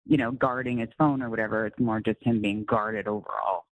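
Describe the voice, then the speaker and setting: A man calling in to a psychic show, explaining his worry in a halting, casual way. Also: lowish voice, steady tone, dry recording, narrow, tinny audio. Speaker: caller.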